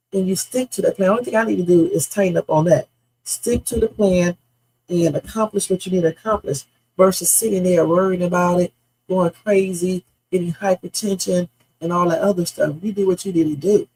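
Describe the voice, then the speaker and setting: Gravelly, husky woman in her fifties rambling. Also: lowish voice, brisk, dark tone, rough recording. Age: 50s. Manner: blunt, salty, meandering storyteller. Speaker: livestream host. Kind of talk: rambling casual livestream chat.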